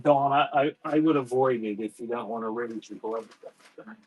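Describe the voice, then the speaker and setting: A man's voice: medium pitch, husky, rough recording. Offhand man selling cassettes live. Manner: offhand, halting, thanks viewers by name. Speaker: live online seller. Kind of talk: casual live-stream selling.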